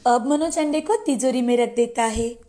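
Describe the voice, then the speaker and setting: A woman's voice: a little high, normal speed. A woman narrating a scripted children's moral story as a storyteller. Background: soft background music.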